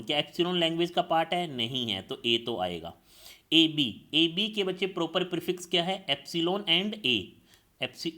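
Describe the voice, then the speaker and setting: Animated male teacher quizzing himself. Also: on the high side. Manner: explains step by step, asks and answers his own questions; addresses students as children. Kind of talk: classroom lecture.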